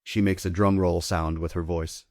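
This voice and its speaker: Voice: deep voice, little pitch movement, moderate pace, a little mellow, dry recording, clean studio sound. A narrator reading scripted stage directions at a theatre table read.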